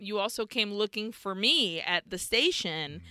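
Woman podcast cohost retelling a movie, bright crisp voice, lots of swearing and acted-out lines, dry close mic. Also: mid-range voice, measured pace, no echo. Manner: animated storytelling, acts out characters, swears a lot. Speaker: podcast co-host. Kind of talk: casual movie recap chat.

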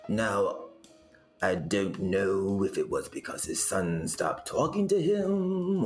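A man performs a rambling male storyteller in a comic audio play. His voice is low and moves slowly, with lively swings and dramatic pauses. He spins absurd family history with a straight face, over faint music. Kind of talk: comedic audio drama monologue.

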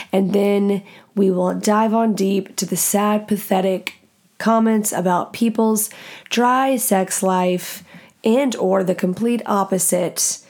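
A woman with a deep, nasal voice hosting a podcast in a blunt, profane, self-mocking casual monologue. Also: darkish tone. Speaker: podcast host.

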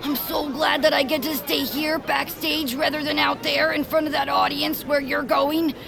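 A woman voicing an excitable, childlike, anxious amateur stage performer in a cartoonish audio drama, wide-eyed and earnest. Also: high-pitched, normal speed, creaky, over music. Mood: excited.

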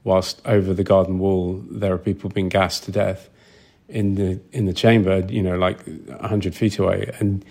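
A man being interviewed as a film sound designer, explaining things conversationally in a thoughtful, halting way with repeated words. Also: low-pitched, level tone, vocal fry, a little mellow.

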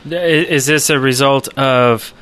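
A man's voice: medium pitch, measured pace, a bit bright, smooth. A man co-hosting a podcast in a casual conversational style. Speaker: podcast co-host. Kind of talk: casual chat.

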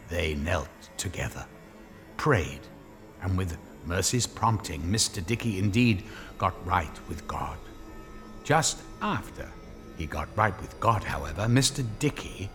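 Low, slow, expressive male story narrator. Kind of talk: scripted dramatic story narration.

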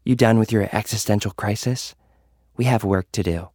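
A man's voice: on the low side, normal speed, a little mellow, no room sound, clean studio sound, voice pushed up, natural voice. A man voicing a hard, foul-mouthed young woman influencer in an audio drama, curt and scolding. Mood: scornful, impatient.

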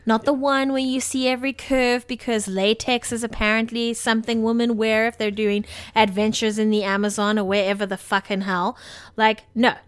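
Playful, higher-voiced woman chatting about films. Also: little pitch movement, moderate pace, smooth. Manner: informal, playful, opinionated. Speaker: podcast co-host. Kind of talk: casual film review chat.

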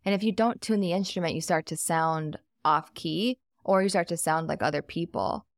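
Low gravelly woman, emphatic. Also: normal speed, no echo, studio quality. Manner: earnest, emphatic, reflective. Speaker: podcast guest, wealth coach. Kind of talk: casual podcast conversation.

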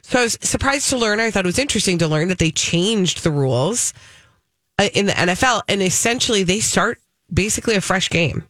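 A woman's voice: medium pitch, expressive, a little fast, fairly bright tone, no echo. An American woman co-hosting a radio show, telling stories in a casual, chatty and emphatic way. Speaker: fill-in radio co-host.

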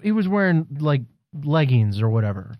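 A man's voice: a little high, sing-song, normal speed, dry recording, lo-fi sound. A man co-hosting a casual wrestling chat, joking and rambling, swearing freely. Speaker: podcast co-host.

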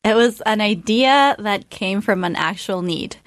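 A woman's voice: medium pitch, a little slow, no echo, studio quality. A woman from Ecuador, a company co-founder, telling her story in an interview, thoughtful with many ums and uhs.

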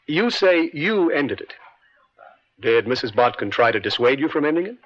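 An actor playing an insistent district attorney in an old radio drama, questioning in a deliberate, theatrical way. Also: male voice, mid-range voice, big pitch swings. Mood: probing, pointed. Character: probing male district attorney, insistent and pointed. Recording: dull lo-fi vintage radio.

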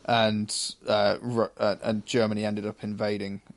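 A man in casual discussion as a co-host, halting and often stammering over his words. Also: fairly low pitch, flat delivery. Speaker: co-host of a chat show.

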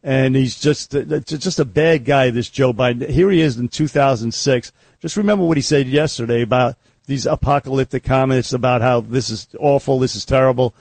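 Gravelly, blunt talk radio man. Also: medium pitch, brisk, a little mellow, no echo. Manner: blunt and combative. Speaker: radio talk show host. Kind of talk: opinionated political talk radio.

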